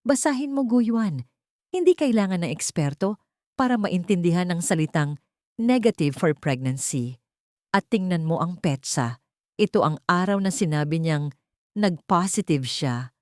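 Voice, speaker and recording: Woman acting an icy, vengeful betrayed wife, lively pitch. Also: measured pace, no room sound, studio quality. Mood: cold, mocking. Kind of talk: dramatic audio story dialogue.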